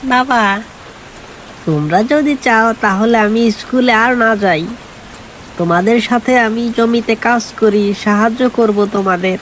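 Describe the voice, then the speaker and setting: A woman plays a farmer's young son in a cartoon folk tale. The boy speaks very slowly, earnest and anxious, with faint rain noise behind. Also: fairly high pitch, close to an everyday voice, poor audio. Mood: dutiful. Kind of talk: animated folk tale acting.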